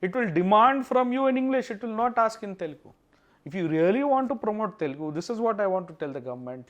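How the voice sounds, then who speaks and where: An Indian man speaks at a quick pace in a fairly high voice. He is a podcast guest arguing his views, leaning on rhetorical questions and repeated phrases to drive each point home. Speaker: school chairman, interview guest. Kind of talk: opinionated podcast discussion.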